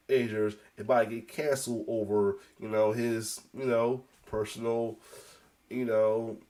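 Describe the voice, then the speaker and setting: A congested man with a cold, giving a casual, rambling news reaction as the host, with restarts and coughs. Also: mid-range voice. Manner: rambling, repeats "as well too", many restarts; occasional coughs.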